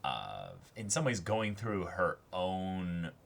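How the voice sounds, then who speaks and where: Deep, bright-edged analytical man. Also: sing-song, takes it slowly, vocal fry. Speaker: co-host of anime reaction and discussion show. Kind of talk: casual analytical discussion.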